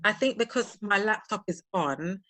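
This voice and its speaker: A woman's voice: mid-range voice, expressive, normal speed, fairly bright tone, dry recording. A woman chatting casually as a podcast co-host, thinking aloud as she explains her own experiences step by step. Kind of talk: casual conversation, personal anecdotes.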